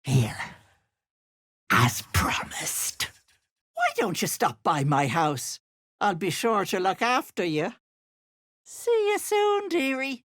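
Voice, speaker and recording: A woman playing a sly old hag witch in a video game, with an Irish accent and a husky, raspy voice that wheedles sweetly and turns menacing. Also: medium pitch, very animated, takes it slowly, pauses often, fairly bright tone. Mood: coy, sweet. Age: older. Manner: wheedling endearments turning to menace. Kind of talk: video game character acting.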